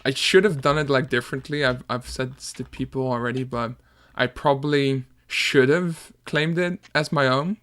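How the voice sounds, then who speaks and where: A man's voice: medium pitch, fairly even intonation, normal speed, few pauses, fairly bright tone. A young man from Denmark with a Danish accent, chatting casually and laughing often while making music at home on a desk mic. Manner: laid-back, laughs often, hesitant restarts. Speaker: music producer streamer. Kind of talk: casual chat while producing.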